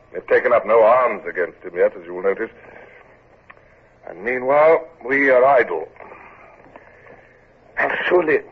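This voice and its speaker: An actor playing a brooding, irritable naval commander, narrating and speaking in a clipped, cultured manner in an old radio drama. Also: male voice, on the low side, breathy edge. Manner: clipped, impatient, cultured English delivery. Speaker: narrator and lead. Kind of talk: 1940s radio drama acting. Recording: tinny old radio broadcast.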